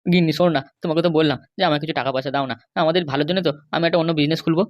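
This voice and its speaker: A performer doing a middle-aged Bengali husband from a comedy cartoon, talking extremely fast in a close, dry recording. He placates and complains by turns, worried but always giving in. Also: mid-range voice, steady tone, dry recording, muffled recording, lightly acted. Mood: pleading. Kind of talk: animated comedy cartoon dialogue.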